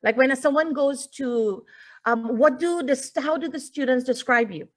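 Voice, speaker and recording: A woman, a native English speaker, giving an informal online lecture as a teacher, explaining things with deliberate pauses and emphasis. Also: sits high. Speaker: webinar presenter, teacher. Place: indoors, online video call.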